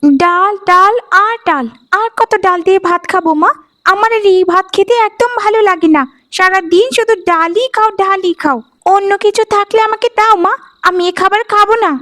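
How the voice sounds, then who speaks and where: A woman's voice: very high-pitched, normal speed, fairly bright tone. A woman voicing a spoiled, spiteful young village sister in a cartoon, with a whiny, haughty, scornful manner. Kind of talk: animated folk-tale cartoon voice acting.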